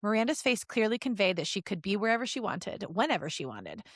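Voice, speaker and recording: A woman podcast host reading fan fiction aloud as an expressive story narrator. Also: mid-range voice, fast, no room sound, studio quality.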